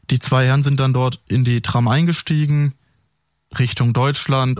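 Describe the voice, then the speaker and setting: A man's voice: medium pitch, no room sound. The bilingual French-German policeman invited into a tight, dry podcast studio, a man carefully laying out a case piece by piece for the listeners. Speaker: police officer, interview guest. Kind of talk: recounting a case in a studio interview.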